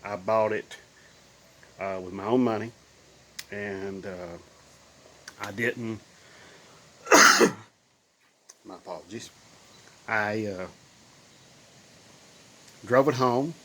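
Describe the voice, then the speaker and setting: A man's voice: fairly low pitch, drawn-out words, pauses often, pushed and bright, a little hiss or hum. A man in his fifties with bronchitis, sounding a bit nasal, rambling casually about his old car while filming himself on a phone in his cluttered garage. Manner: rambling, folksy, lots of ums and restarts. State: sick with bronchitis, slightly nasal. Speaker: car owner vlogger. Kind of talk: casual walkthrough vlog. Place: cluttered home garage indoors. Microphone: phone held at arm's length.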